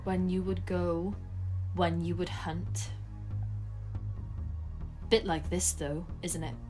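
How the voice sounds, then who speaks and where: An actress playing a vengeful, coolly menacing young woman in an intimate audio drama, speaking in a deliberate, pointed way. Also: on the low side, little pitch movement, warm tone, faint music behind. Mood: wounded, probing.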